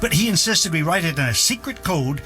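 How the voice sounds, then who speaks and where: Kindly older man, North American accent, hesitant and musing, radio drama with soft music behind. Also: a little high, lively intonation, a little fast. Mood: musing, fond. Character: kindly older man, thoughtful, reminiscing family friend. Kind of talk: audio drama acting.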